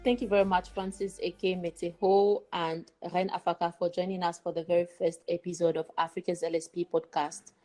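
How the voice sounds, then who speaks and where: A woman's voice: on the low side, mellow. A woman presenting and interviewing as the host of a podcast. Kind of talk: scripted podcast introduction and interviewing.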